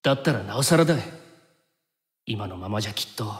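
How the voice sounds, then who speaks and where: An actor playing an easygoing, soft-hearted young fighter in an anime game, casual in speech yet earnest. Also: male voice, fairly low pitch, lively intonation, brisk, lightly acted. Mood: anxious, subdued. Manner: casual, breezy talk with an earnest core. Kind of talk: anime game cutscene acting. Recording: long reverb, music behind.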